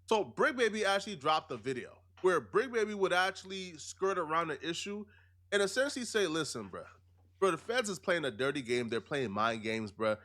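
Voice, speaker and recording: A man's voice: fairly high pitch, very animated, normal speed, fairly bright tone. A man giving explanatory commentary in an emphatic, rhetorical way with streetwise phrasing.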